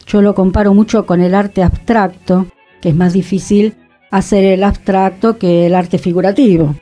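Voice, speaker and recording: A woman's voice: mid-range voice, fairly even intonation, normal speed, dark tone, faint music behind. A woman, an actress co-hosting a radio talk show, explaining her points thoughtfully. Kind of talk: casual radio discussion. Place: radio studio.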